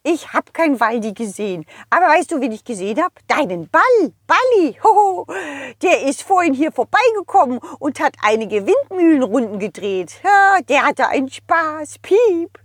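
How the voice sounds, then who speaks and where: A woman voicing a small, excitable, silly male bird with chirping interjections in a children's audio story. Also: high voice, moderate pace, no room sound, studio quality. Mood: chatty, confused. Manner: chirping interjections, giddy exclamations.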